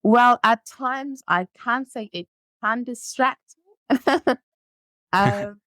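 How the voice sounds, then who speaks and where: A woman's voice: fairly high pitch, takes it slowly, a bit bright, no echo. A nineteen-year-old woman, a native French speaker from France, chatting as a podcast guest, laughing often and using lots of ums. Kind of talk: casual interview chat.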